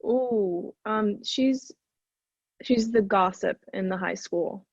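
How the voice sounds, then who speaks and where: A woman from Arkansas talks in a relaxed, chatty way, and a light Arkansas lilt slips into some of her words. Her voice is recorded close and dry, with a slightly muffled, dull tone. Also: mid-range voice, takes it slowly, vocal fry, breathy edge, dry recording. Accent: light Arkansas Southern. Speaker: actor, interview guest. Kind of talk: casual interview chat.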